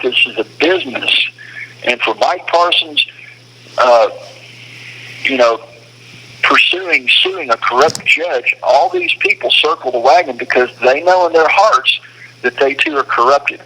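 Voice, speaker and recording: A man with a bright, forceful voice at a middle pitch speaks over a phone call. He talks casually but with strong opinions, laying out his points in an emphatic, explanatory way. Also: vocal fry, a little hiss or hum. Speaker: detainee speaking on a phone call from a detention facility. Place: phone call from a detention facility.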